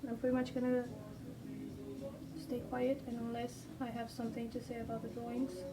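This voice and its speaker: A woman who speaks English as a second language, rambling hesitantly with many pauses as she sketches. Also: on the high side, completely flat delivery, noisy background. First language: not English. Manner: hesitant, many ums, self-deprecating. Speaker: artist sketching on camera. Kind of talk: casual rambling vlog while drawing. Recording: distant mic with background music.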